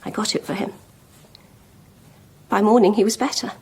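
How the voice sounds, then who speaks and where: An actress playing a proud, lovelorn schoolmistress in a period radio drama, speaking frankly and deliberately with emotional intensity. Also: female voice, mid-range voice, steady tone, a little mellow, husky. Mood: confessing. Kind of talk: BBC radio drama acting.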